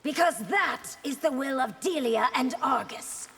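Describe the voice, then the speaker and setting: Proud game empress, high and slow, defiant, lots of hall reverb. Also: female voice, expressive, a bit bright, soft background music, heavily acted. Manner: imperious, formal, measured. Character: stern, proud young empress, defiant ruler. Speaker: video game character. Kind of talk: dramatic fighting game dialogue.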